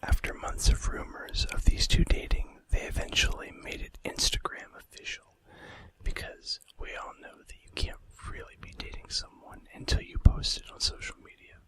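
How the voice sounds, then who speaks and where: Whispering man, breathy ASMR narrator. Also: very high voice, monotone, poor audio. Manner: hushed, deliberate scripted reading with soft casual asides. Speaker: ASMR video host.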